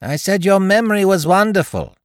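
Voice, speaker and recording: A man doing a chirpy, excitable young gent. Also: high voice, normal speed, dry recording, studio quality, voice pushed up. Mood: bluster. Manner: breezy, theatrical, upper-class bluster.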